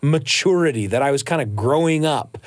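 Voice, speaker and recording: A man's voice: fairly high pitch, lively intonation, fairly quick, dry recording. A man co-hosting a podcast, chatting in a casual, reflective way. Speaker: podcast co-host.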